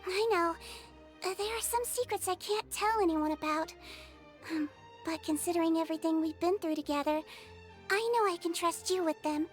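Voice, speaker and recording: A woman's voice: very high-pitched, moderate pace, faint music behind. A woman voicing a small, cute, earnest girl companion in an anime fantasy game. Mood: confiding.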